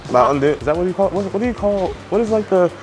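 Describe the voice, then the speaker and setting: A man vlogging on a cruise ship deck, chatty, excitable and teasing in his casual talk. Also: sits high, rapid-fire, faint music behind. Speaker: travel vlogger. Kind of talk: casual vlog chat.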